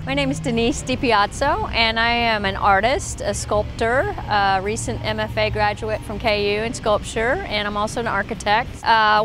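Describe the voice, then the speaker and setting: A woman's voice: a little high, background noise. A woman sculptor and architect talking casually about her piece in an outdoor park interview. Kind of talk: on-camera interview.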